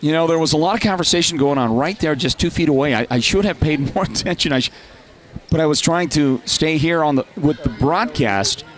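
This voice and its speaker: A man with a nasal, congested voice from a lingering cold, calling a live high school basketball game in a chatty way and raising his voice on big plays. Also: fairly quick. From Northern Arizona. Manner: chatty, rises to loud calls on big plays. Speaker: play-by-play announcer.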